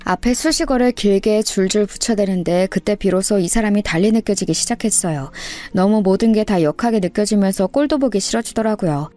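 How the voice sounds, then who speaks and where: A woman's voice: medium pitch, brisk, mellow. A woman giving scripted first-person narration of a personal story in radio-story style. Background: music.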